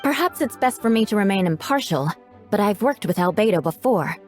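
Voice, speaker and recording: Woman as an animated, principled colleague. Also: medium pitch, fairly quick, faint music behind, lightly acted. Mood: firm. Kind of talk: English video game voice acting.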